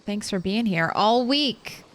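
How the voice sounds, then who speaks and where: A woman's voice: medium pitch, expressive, takes it slowly, a bit bright. A young adult woman streaming a game, chatting casually, thinking aloud and laughing often. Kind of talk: casual chat while gaming.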